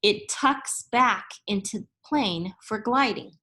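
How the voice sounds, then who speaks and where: A woman reading a children's science picture book aloud like a teacher, in a deliberate, instructive way that makes each term clear. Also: fairly high pitch, lively intonation, pushed and bright. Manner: deliberate, instructive, spelling out and explaining terms.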